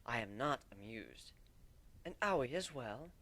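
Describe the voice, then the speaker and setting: A man's voice: medium pitch, animated, a little slow, pushed and bright, no echo, heavily acted. A man voicing a haughty, formal pony princess reading out a stern letter in a dramatic story reading. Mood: stern, smug. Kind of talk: dramatic reading of fan fiction.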